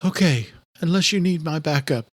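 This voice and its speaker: A man's voice: expressive, moderate pace, no room sound, studio quality. A man voicing a determined, anxious woman in a thriller audiobook, speaking with urgent insistence. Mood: tense, brave. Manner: urgent, insistent, raised pitch. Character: determined, anxious young woman, defiant and brave. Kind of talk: horror thriller audiobook character.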